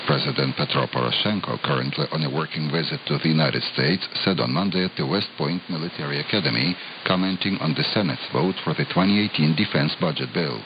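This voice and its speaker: A man presenting a scripted international news broadcast in a steady, measured way, heard through hissy shortwave radio reception. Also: very deep voice, dull audio.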